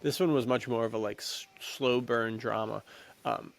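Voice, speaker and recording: A man with a creaky, gravelly voice and medium pitch chats casually as a podcast co-host. His talk is loose and slangy, feeling its way through each thought. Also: steady tone, moderate pace. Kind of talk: casual movie chat.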